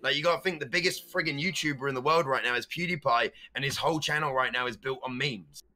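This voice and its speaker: A man who stutters, chatting casually as a streamer guest on a podcast and breaking into loud meme voices now and then. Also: mid-range voice, quick pace.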